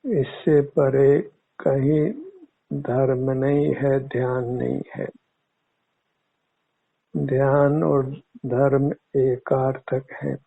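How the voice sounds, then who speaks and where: A man teaching guided meditation in measured, formal, instructive words. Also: medium pitch, level tone, vocal fry. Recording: muffled phone-like narrow-band audio.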